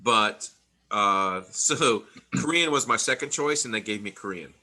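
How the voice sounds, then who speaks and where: A man's voice: lowish voice, animated, unhurried, bright, forceful tone. A middle-aged man telling his story in a casual interview, rambling with many restarts and laughing between thoughts. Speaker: interview guest, Army veteran.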